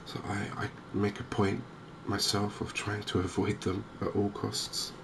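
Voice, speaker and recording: A man gives gentle, softly spoken ASMR commentary on a game, chatty and unhurried with hesitant pauses. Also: on the low side. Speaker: gaming video host. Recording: clear steady background noise and ambience.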